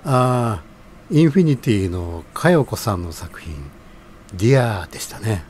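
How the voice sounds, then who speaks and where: A man's voice: lowish voice, big pitch swings, measured pace, a little mellow, a little hiss or hum. A man playing an easygoing, obliging ramen stall keeper in a scripted radio drama. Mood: genial.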